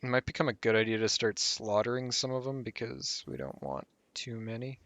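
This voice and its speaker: A man's voice: medium pitch, brisk, no echo. Man with a tired, fading voice. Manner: relaxed, rambling, trails off with fillers. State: losing his voice. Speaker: gameplay guide host. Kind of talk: casual game commentary.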